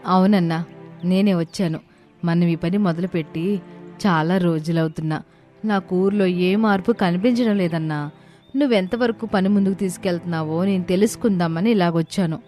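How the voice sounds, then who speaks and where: A woman playing an earnest, trusting village woman in an animated moral story, speaking respectfully. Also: fairly low pitch, moderate pace, soft background music, close to an everyday voice.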